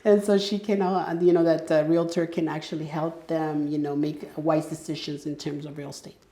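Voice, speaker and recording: A middle-aged woman from El Salvador speaks in a low, warm voice, chatting as an interview guest. She talks in a loose, conversational way, full of "you know"s and restarts. The room around her is noticeably echoey. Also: little pitch movement, normal speed. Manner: conversational, frequent fillers and restarts. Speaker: guest entrepreneur. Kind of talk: casual interview talk.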